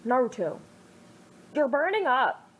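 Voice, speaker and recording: A woman's voice: sits high, sing-song, unhurried, lo-fi sound, heavily acted. A woman voicing a caring teenage boy ninja in a fan story reading, sounding concerned and scolding.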